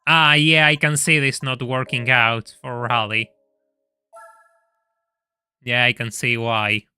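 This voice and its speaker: Streamer, young man, bright forward voice, chatty and stammering, faint game music behind. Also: medium pitch, flat delivery, slow pace, clear, resonant tone, no room sound. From Argentina. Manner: chatty, laughs often, stammers and repeats words. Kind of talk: casual live-stream chat and gameplay commentary.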